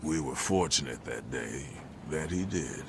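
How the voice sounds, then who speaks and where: Slow, extremely deep old storyteller. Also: male voice, expressive, gravelly, full character voice. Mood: solemn reminiscence. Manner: measured, grave. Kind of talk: dramatic game narration. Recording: noisy ambience behind voice.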